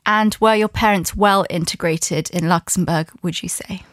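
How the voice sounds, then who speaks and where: A woman hosting a studio podcast interview, asking questions in a friendly, measured way. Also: lowish voice, steady tone, fairly bright tone, no echo. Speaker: podcast host.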